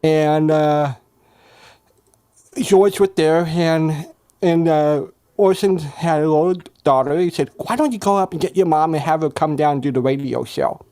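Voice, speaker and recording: A man on a panel telling anecdotes casually, in short, halting, plain phrases. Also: a little high, dark tone.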